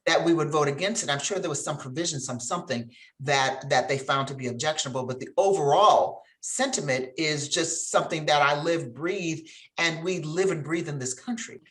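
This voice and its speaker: A woman's voice: low-pitched, normal speed, hardly any pauses. A woman from Switzerland speaking as a panelist in an earnest, emphatic, conversational way. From grew up in Geneva, Switzerland.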